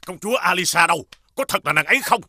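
A man voicing a pompous, excitable duke in an animated fairy tale, raspy, bombastic and commanding. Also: high voice, fast, exaggerated voice. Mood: eager, commanding.

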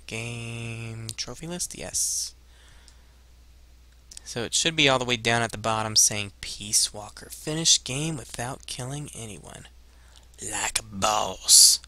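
A man's voice: mid-range voice, slow pace, bright, forceful tone, dry recording. A man in his twenties giving casual let's play commentary and reading game screens aloud on a headset mic. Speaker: gaming video host.

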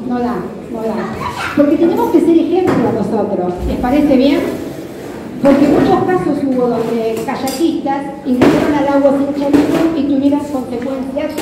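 A woman's voice: high-pitched, noisy background. A middle-aged woman with a Rioplatense Argentine accent giving a conversational instructional talk on a handheld microphone, often checking in with her listeners. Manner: conversational, checking in with listeners using question tags. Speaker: kayak fishing safety instructor. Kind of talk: live instructional talk to an audience. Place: large hard-floored club hall with seated audience. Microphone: handheld microphone over PA, recorded by a distant camera. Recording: echoey hall PA, distant, crowd murmur. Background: audience murmur.

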